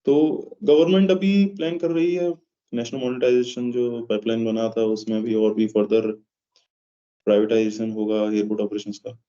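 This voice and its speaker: A man with an Indian accent explaining things in a measured way as an interview guest, pausing mid-phrase. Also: mid-range voice, flat delivery, dark tone. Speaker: interview guest, aviation professional. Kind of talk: explanatory interview answers in Hindi mixed with English. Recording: dull, lo-fi sound.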